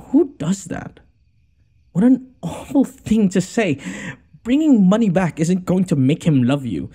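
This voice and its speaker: A man's voice: high-pitched, animated, measured pace, mellow, slightly rough, exaggerated voice. A man playing a haughty, wounded noble lord in an audio roleplay, with a theatrical, sarcastic and exasperated delivery. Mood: urgent concern. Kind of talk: scripted audio roleplay addressed to the listener.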